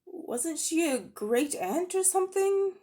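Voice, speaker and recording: A woman's voice: very high-pitched, big pitch swings, slow, warm tone, pitched above the performer's own voice, exaggerated voice. A woman voicing an earnest young schoolboy with a questioning, indignant manner, in a storybook reading. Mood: curious, uneasy. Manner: questioning, indignant, plain-spoken.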